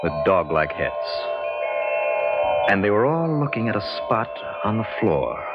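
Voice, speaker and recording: A deep-voiced, level-headed explorer. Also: male voice, sing-song, muffled recording, over loud music. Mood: intrigued. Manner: measured, deliberate, dramatic. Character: level-headed, inquisitive male explorer recounting his discovery. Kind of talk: old-time radio drama narration and dialogue. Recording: band-limited old radio recording.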